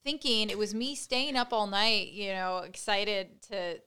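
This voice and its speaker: A woman's voice: medium pitch, a little slow, sharp tone, clear, resonant tone. A young woman, a social media influencer, talking earnestly in a casual interview, hesitant and often restarting her sentences.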